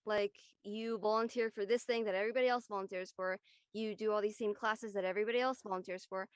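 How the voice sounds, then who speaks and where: A woman's voice: mid-range voice, fairly even intonation, fast talker, clear, resonant tone, no room sound. A young woman, an actress talking casually in a panel discussion. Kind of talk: casual chat.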